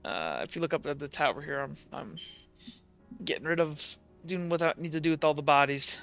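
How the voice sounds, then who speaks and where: A man narrating a tabletop roleplaying game as game master, informal and halting, pausing to think. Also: a little high, creaky, fairly bright tone. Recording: slightly dull audio with faint music. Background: faint music.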